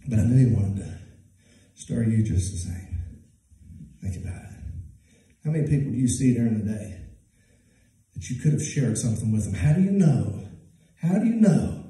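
A man in his thirties with a Southern US accent, preaching a sermon at a church pulpit. He swings from loud, high-pitched preaching to low, soft passages. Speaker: pastor. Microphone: handheld mic, filmed from far off. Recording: echoey church from far off.

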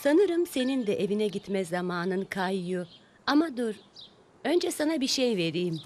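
A woman's voice: medium pitch, very animated, a little slow, darkish tone, soft background music. A kind neighbor lady. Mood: gentle. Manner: kind, coaxing. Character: friendly grown-up neighbor woman. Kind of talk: cartoon dub acting.

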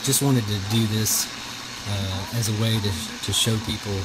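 A middle-aged man giving a casual hands-on demonstration in a halting, hesitant way, recorded on a handheld camera. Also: on the low side, steady tone, very noisy. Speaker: homestead vlogger. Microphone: handheld camera, speaker behind it.

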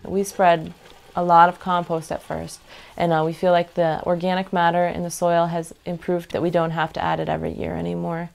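Low-voiced woman farmer explaining methods. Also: monotone, fairly quick, gravelly. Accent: American. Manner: earnest, explanatory. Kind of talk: documentary interview. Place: living room with bookshelves, indoors. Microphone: lapel mic.

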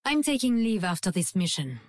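A woman's voice: mid-range voice, big pitch swings, a little fast, darkish tone, no echo, clean studio sound, lightly acted. An actress playing a cold, aloof female sniper assassin with a French accent and a clipped, disdainful manner. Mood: cold, dismissive.

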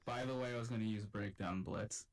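A man's voice: on the low side, fairly even intonation, brisk. A young man playing a game and bantering casually over voice chat. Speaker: game player. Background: game music.

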